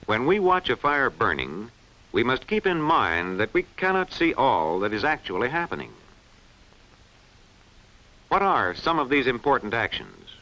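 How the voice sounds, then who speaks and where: Male narrator, for a school science film: forceful, lively intonation, precise and instructive, on a dull old soundtrack. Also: medium pitch, a little slow. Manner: clearly enunciated, didactic delivery. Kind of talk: scripted educational film narration. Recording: dull, band-limited vintage film soundtrack.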